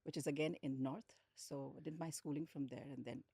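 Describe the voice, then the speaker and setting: Podcast guest: woman, Indian accent, deep register, telling personal stories conversationally, very little room sound. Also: fairly even intonation, fairly quick, mellow, slightly rough, no echo. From India, grew up in Lucknow and Hyderabad. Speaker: podcast guest, hospitality executive. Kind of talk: conversational interview storytelling.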